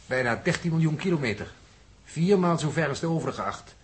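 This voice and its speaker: An actor playing a polite, bookish space scientist in a 1960s Dutch radio play, explaining things in a formal, exact way with a Netherlands accent. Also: male voice, on the high side, expressive, fairly quick, a little hiss or hum, close to an everyday voice. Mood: calm, instructive. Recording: 1960s radio drama recording.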